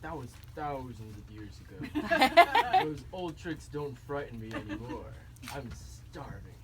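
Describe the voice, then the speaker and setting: A woman voicing a huge hungry storybook monster, menacing and sly, with a slow growl. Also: extremely low pitch, sing-song, crowd noise, pitched below the performer's own voice, full character voice. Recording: live room with audience noise.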